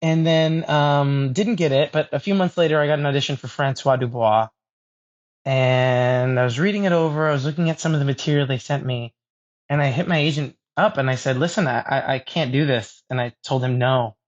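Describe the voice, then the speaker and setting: A man's voice: mid-range voice, brisk, dull audio. A male actor being interviewed in casual chat, telling stories in an upbeat way with frequent stammered restarts. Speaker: Broadway actor guest.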